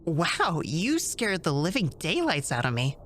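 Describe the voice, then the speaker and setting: A woman's voice: fairly low pitch, wildly swooping pitch, unhurried, fairly bright tone, full character voice. A woman voicing a polite, naive young boy hero, hesitant and earnest, in a cartoon fandub. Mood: puzzled, hesitant. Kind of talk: fandub character acting.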